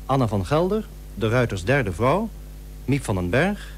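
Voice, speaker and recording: Formal male announcer with lively intonation. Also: mid-range voice, a little slow. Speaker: radio announcer. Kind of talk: formal scripted credits announcement. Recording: old radio recording with faint hum.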